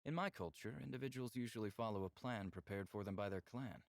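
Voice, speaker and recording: Game role for a male actor: composed male alien, very deep, formal, measured delivery, dry studio sound. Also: no echo, lightly acted. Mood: calm, candid. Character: reserved, candid male alien lover from a conservative clan. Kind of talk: visual novel character acting.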